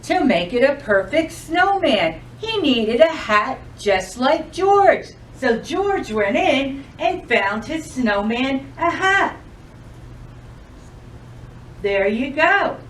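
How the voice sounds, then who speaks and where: A woman reads a picture book to children in a fairly high voice. Her intonation swings widely and her delivery is unhurried and expressive, as at a library storytime. The microphone sits some way from her. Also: distant mic, slight background noise. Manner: expressive, addresses children with questions. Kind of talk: children's storybook read-aloud.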